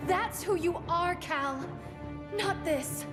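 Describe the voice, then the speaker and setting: A loud score sits behind a young alien heroine. Her voice is high, earnest and urgent, pleading in the dramatic style of a cinematic video game. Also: slow. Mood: hurt, reproachful. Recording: loud orchestral music behind the voice.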